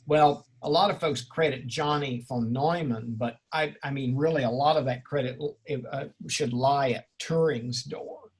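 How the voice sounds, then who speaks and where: Husky, effusive male seminar instructor. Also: mid-range voice, a little slow, few pauses. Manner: effusive, erudite, rambling, jokey. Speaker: instructor leading an online class session. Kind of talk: informal seminar discussion.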